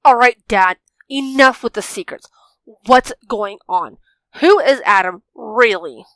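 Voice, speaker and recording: A man voicing an insistent, sharp young daughter in an audio story, emphatic and demanding. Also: very high voice, unhurried, dry recording, voice pushed up, full character voice, low-quality recording.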